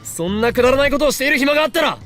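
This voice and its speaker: A man's voice: very high-pitched, animated, racing, a bit bright, soft background music. A male actor playing a haughty young self-styled mad scientist in a Japanese visual novel, theatrical and scolding.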